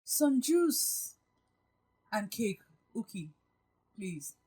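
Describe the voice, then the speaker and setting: A woman's voice: mid-range voice, sing-song, very slow, warm tone, husky, heavily acted, poor audio. Gracious, lilting woman boss played by an actress. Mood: gracious, welcoming. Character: gracious, caring, composed woman in charge of an office. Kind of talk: acted audio drama dialogue.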